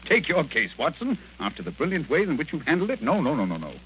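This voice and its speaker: An actor playing a brisk, shrewd gentleman detective with a clipped, theatrical manner in a radio play. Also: on the high side, wildly swooping pitch. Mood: brisk, commanding. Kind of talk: 1940s radio acting. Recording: noisy, dull old radio recording.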